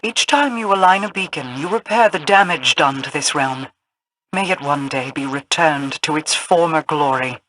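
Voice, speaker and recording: Aloof commanding game queen, formal and solemn, bright forceful voice, bit of noise. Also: sits low, moderate pace, rough recording. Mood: imperious, solemn. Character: regal, aloof, commanding queen of a fallen realm. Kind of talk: scripted video game dialogue.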